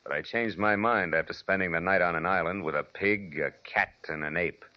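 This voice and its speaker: Deep-voiced hardboiled American radio detective played by an actor. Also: male voice, fairly quick, close to an everyday voice. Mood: weary, brooding. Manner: dry, sardonic, clipped hardboiled delivery. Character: world-weary, wry male private detective, hardboiled narrator. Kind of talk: 1940s radio detective drama acting and narration. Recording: dull vintage radio recording with music.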